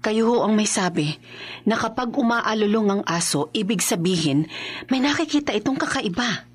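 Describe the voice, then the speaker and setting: A woman's voice: mid-range voice, lively intonation, moderate pace, husky. A woman playing a worried young mother and wife in a horror radio drama, earnest and hesitant. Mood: worried, suspicious. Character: anxious young mother and wife growing suspicious of her husband.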